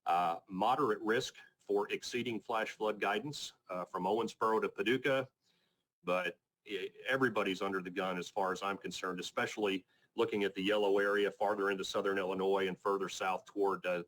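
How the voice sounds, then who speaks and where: A man presenting an informal technical weather briefing as a forecaster, speaking in a measured, matter-of-fact, plain-spoken way. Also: low voice, fairly even intonation, a bit bright. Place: conference call presentation.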